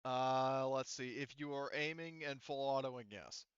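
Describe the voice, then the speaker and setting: Clear-voiced man running a tabletop game. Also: mid-range voice, measured pace, no echo, lo-fi sound. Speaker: game master. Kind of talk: casual tabletop game narration and rules talk.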